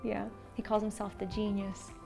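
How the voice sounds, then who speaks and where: An American woman gives casual, conversational interview answers about her own work. Her mid-pitched voice has a noticeable creak, and she sounds enthusiastic. Soft music plays underneath. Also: monotone, moderate pace. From born in Slovakia, moved to the United States at seven. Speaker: small business owner, textile designer and shopkeeper.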